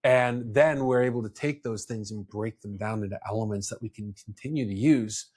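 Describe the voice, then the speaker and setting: A man's voice: lowish voice, moderate pace, darkish tone. A man hosting a podcast, talking casually and explaining with personal examples, often repeating words as he goes. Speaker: podcast host.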